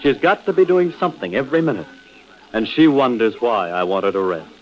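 An actor playing a smooth, evasive cheating husband in a placating, persuasive manner, in 1940s radio drama style. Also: male voice, medium pitch, expressive, a little fast, natural voice. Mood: smoothly persuasive. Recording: dull, lo-fi old radio recording.

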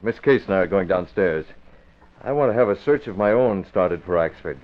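A man playing a decisive newspaperman and secret crime fighter in an old-time radio drama, firm and deliberate. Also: sits low, animated. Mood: firm, determined. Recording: dull vintage radio recording.

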